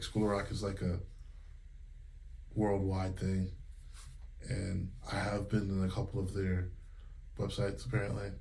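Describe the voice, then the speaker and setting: A man's voice: deep voice, a little hiss or hum. A man in his thirties from Connecticut, a singer and music teacher, talking candidly in a casual interview with many fillers. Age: 30s. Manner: relaxed and candid, many fillers and quoted retellings.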